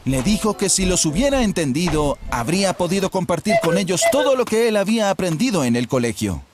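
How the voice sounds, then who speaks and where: Male narrator for a children's animated tale: fairly high voice, lively delivery, music underneath. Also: fairly quick. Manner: expressive, storytelling delivery with repeated refrains. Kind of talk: scripted storybook narration for a children's cartoon.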